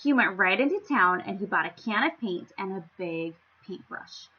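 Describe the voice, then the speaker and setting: A woman reading a storybook aloud to young children, with theatrical, playful emphasis and an expressive storytelling style. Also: mid-range voice, moderate pace, husky, dull audio. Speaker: children's story-time reader.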